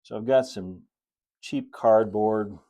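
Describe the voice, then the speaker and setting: A middle-aged American man giving a casual hands-on tutorial, unhurried and folksy, thinking aloud as he works. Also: on the low side, mellow. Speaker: host, model railroad builder. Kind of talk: casual hands-on tutorial talk while working. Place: indoor hobby workshop at a cluttered workbench and layout.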